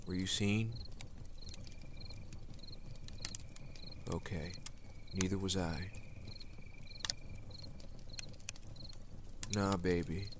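A man playing a tender, uneasy demon slayer in a secret romance, speaking softly and hesitantly in an intimate ASMR roleplay. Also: sits low. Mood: relaxed. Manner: soft, hesitant, affectionate. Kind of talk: ASMR roleplay, intimate one-sided dialogue. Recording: clear noise of outdoor night ambience, crickets. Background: crickets and night nature ambience.

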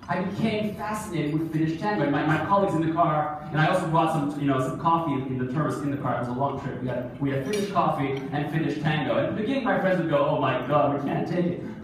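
A man in his forties telling stories as a keynote speaker on stage, lively, asking the audience questions. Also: fairly high pitch, a little hiss or hum. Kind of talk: storytelling keynote speech. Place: large conference hall, on stage. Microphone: phone camera far from the stage. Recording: echoey hall recorded from far off.

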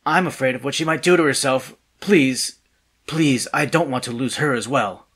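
An anxious, well-mannered man begging for help in a radio play, played by a male actor. His mid-pitched voice is husky. He sounds hesitant, earnest and desperate. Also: normal speed, fairly bright tone. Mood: distraught. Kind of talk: audio drama acting.

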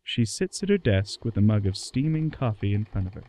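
A man giving a dramatic scripted narration with deliberate, suspenseful phrasing. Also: on the low side, big pitch swings, dark tone, a little hiss or hum.

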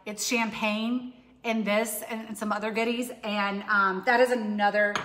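A woman's voice: medium pitch, little pitch movement, a little slow, fairly bright tone. A woman in her forties chatting casually as she demonstrates a drink recipe in her home kitchen, upbeat and rambling with frequent restarts. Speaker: cooking demo host. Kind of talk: casual live cooking demonstration. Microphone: overhead camera from a distance. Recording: echoey kitchen with long reverb.

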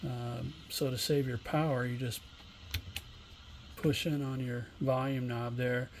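A middle-aged man giving a casual, unscripted product demonstration in a plainspoken, halting way. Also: medium pitch, level tone. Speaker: online seller demonstrating used radio equipment. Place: indoor home workshop bench. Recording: room noise, homemade camera recording.

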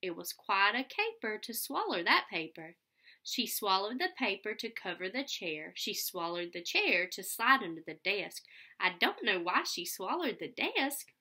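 A young adult woman reads a picture book aloud for children. Her voice is bright and clear-cut, at an average pitch, and she takes her time over the words. She explains things simply and prompts her listeners with questions, recorded on a webcam in a room at home. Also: sing-song, measured pace. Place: small furnished room at home, indoors. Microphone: computer webcam at about arm's length.

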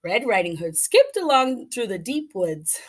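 A woman's voice: a little high, sing-song, measured pace. A middle-aged woman reading a picture book aloud expressively for children, in a small office. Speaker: elementary school staff member reading aloud. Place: small indoor office with bookshelves.

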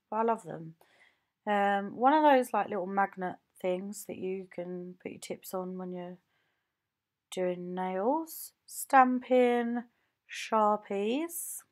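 A woman nail technician from the UK, chatting casually as she shows her home studio on a handheld phone, rambling with lots of ums and likes. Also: medium pitch. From United Kingdom. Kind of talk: casual show-and-tell vlog.

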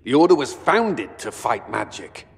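An actor playing a serious, blunt military commander in a video game, speaking directly and earnestly. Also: male voice, mid-range voice, sing-song, unhurried, vocal fry, big-room sound. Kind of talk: dramatic video game dialogue.

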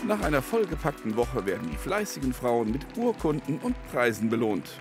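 A man reading a scripted news voice-over in a calm, even newsreader manner. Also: mid-range voice, a little fast. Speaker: news narrator. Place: studio voice-over. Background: music bed.

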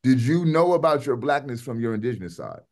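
A man's voice: medium pitch, very animated, fast talker, a little mellow. A man leading a class discussion over a video call, chatting in a loose way and pressing students with pointed questions. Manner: conversational, repeats words and asks pointed questions. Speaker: lecturer.

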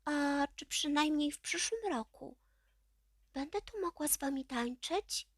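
Woman as an eager, high-voiced little girl witch. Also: animated, unhurried, no room sound, studio quality. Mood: hopeful. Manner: pleading, earnest.

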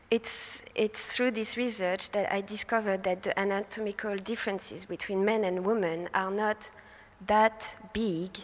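Soft-voiced French woman in a reverberant hall. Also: medium pitch. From France. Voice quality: soft, airy. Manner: hesitant, with fillers and self-corrections. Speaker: speaker presenting research. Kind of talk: prepared conference talk. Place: festival talk stage in a large hall. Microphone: handheld microphone at a lectern.

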